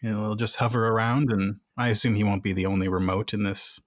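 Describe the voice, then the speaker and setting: A man with a fairly low voice, recorded dry and close, plays a tabletop role-playing game. He casually describes his character in the third person. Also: level tone, quick pace, no room sound.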